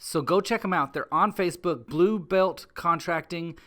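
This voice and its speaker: A man's voice: a little high, moderate pace, pushed and bright. An American man hosting a podcast, chatting in a casual, informal and upbeat way as he interviews and encourages. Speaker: podcast host.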